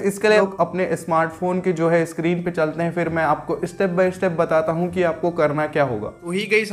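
A man's voice: on the high side, fairly bright tone. A man with an Indian accent hosting a casual step-by-step phone tutorial, conversationally addressing viewers and repeating his instructions. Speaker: tech tutorial host.

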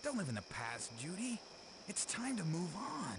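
An actor playing a smooth-talking, dismissive young man on a date in a video game cutscene. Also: mid-range voice, big pitch swings, moderate pace. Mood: coaxing, dismissive. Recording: noisy game cutscene audio.

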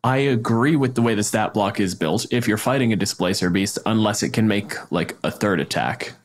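A man with a fairly low voice speaks in a casual, conversational style. He explains his thinking like a podcast host in an informal discussion. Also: moderate pace. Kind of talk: casual tabletop game discussion.

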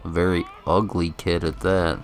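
A man's voice: deep voice, flat delivery, moderate pace, faint music behind. A man giving casual, offhand, rambling commentary on a video game. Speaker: gameplay commentator.

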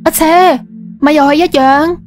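A woman playing a bossy yet soft-hearted female company boss in an audio drama, with a Cantonese accent. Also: high voice, steady tone, moderate pace, natural voice. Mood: coy, insistent. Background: background music.

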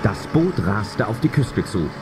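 A man's voice: on the low side, big pitch swings, unhurried. A man narrating a suspenseful adventure audio drama in scripted storyteller style. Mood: suspenseful storytelling. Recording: loud music under narration.

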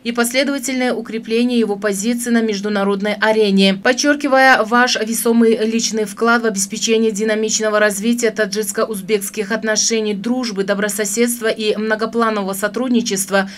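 A woman reading scripted news as an announcer, in a solemn, measured, official manner. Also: on the high side, steady tone.

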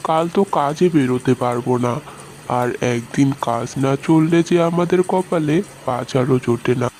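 A man playing a caring, middle-aged village fisherman father in a fairy-tale cartoon, speaking in a gentle, fatherly way. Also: mid-range voice, moderate pace, faint music behind, close to an everyday voice. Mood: worried, tender.

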